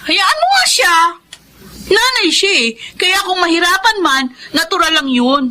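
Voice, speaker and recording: An actress playing a spoiled, vain and resentful young daughter in a Filipino radio drama, with a whiny, demanding manner. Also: female voice, very high voice, lively intonation, a little slow, pushed and bright, heavily acted.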